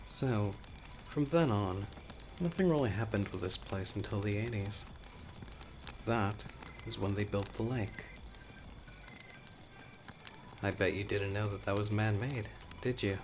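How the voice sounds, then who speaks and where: A man playing a young newcomer telling eerie local legends by a campfire in a horror audio roleplay, conversational and teasing with dramatic pauses. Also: lowish voice, background noise, close to an everyday voice. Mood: casual, knowing. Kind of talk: casual spoken storytelling in horror audio roleplay.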